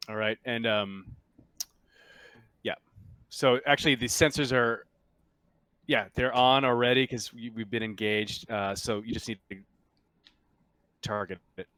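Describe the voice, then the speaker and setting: A man's voice: medium pitch, fairly even intonation, unhurried, pauses often, a bit bright, no echo. A man acting as game master, narrating casually and prompting players through their turns and rules.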